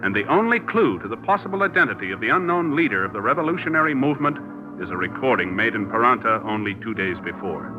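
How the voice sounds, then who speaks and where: A man's voice: medium pitch, expressive, fast. A man working as a radio announcer, reading a script in an emphatic, formal 1940s style. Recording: muffled old radio recording, music behind.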